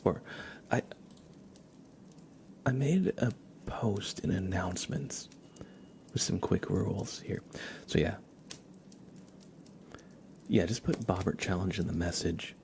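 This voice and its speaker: Low, creaky, soft-spoken ASMR man. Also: slow, pauses often, slight background noise. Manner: soft-spoken, often dropping into whispers. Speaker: livestream host. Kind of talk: ASMR livestream chat.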